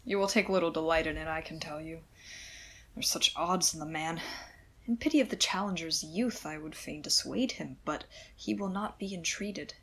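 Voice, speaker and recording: A stern, imperious Duke of Shakespearean radio drama, acted by a woman. The voice is low, creaky and husky, giving curt commands like a ruler. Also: moderate pace, fairly bright tone, heavily acted. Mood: brisk, commanding. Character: stern, imperious usurping male Duke, a father. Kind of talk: Shakespearean radio play acting.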